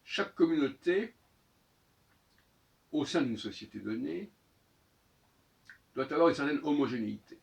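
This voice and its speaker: A man gives an unscripted expository talk in a halting, didactic manner, often rephrasing and repeating key words. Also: a little high, animated, creaky, muffled recording. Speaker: speaker giving an opinion talk. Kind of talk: extemporaneous expository monologue. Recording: voice distant from the microphone.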